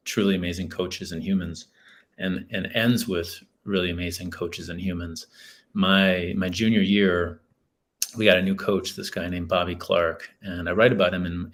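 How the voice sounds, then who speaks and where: Deep low guy, 40s, earnest reflective storyteller. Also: fairly bright tone. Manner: earnest, reflective, many restarts. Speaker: guest, author and school leader. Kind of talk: storytelling in a podcast interview.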